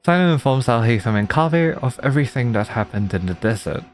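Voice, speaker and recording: An animated game streamer with a mid-pitched voice that moves around a lot. The talk is casual and sweary, easily amused, reacting live over soft game music. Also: fast talker. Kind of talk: live gameplay commentary with read-aloud game text and reactions. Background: video game music.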